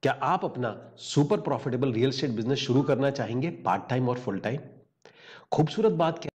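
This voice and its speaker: A man delivering a promotional sales pitch as an advertisement pitchman. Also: animated, fairly quick, a little mellow, husky.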